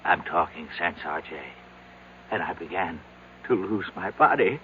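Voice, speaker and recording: Dying old man in radio play, halting n anguished, voice swings around, tinny sound. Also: deep voice, animated. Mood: weak, confiding. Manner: halting, rambling, repeats himself. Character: dying, anguished young man turned seventy-year-old. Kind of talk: 1970s radio drama acting. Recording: tinny band-limited old radio, faint hiss.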